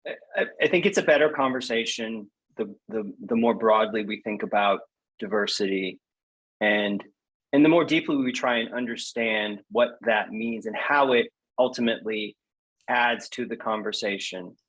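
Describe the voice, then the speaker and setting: A man's voice: on the low side, normal speed, a bit bright, poor audio. A man speaking as a podcast interview guest, conversational and earnest, repeating phrases for emphasis. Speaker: interview guest, poet and prize judge.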